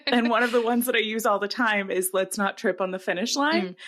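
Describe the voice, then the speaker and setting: A woman's voice: a little high, fairly quick, fairly bright tone. A woman co-hosting a podcast in casual chat, with a jokey, self-deprecating way of talking. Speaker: podcast co-host.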